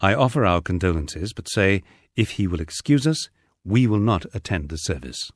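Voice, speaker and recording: A man's voice: low voice, animated, normal speed, dry recording. A man reading a first-person diary-style memoir aloud with dry, understated storytelling. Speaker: audiobook narrator.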